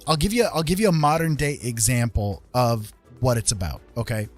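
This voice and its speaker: A man with a medium-pitched voice hosts a casual livestream with music behind him. He speaks in an opinionated, conversational manner, leaning on key points and regularly checking that the listener follows. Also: fairly quick. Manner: emphatic, conversational, often checking with "right?" and "okay?". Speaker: livestream host.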